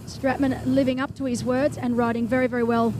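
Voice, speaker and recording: A woman with a bright, high voice calls a triathlon live, brisk and enthusiastic, with chatty asides. Engine and wind noise from the race can be heard clearly behind her. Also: flat delivery. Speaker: race commentator. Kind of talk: live sports commentary.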